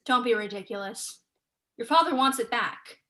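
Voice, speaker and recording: Bright forceful woman scholar, played by a student, sceptical and unsentimental, fairly high voice, stage play reading. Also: animated, normal speed. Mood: dry, dismissive. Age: young adult. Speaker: student actor.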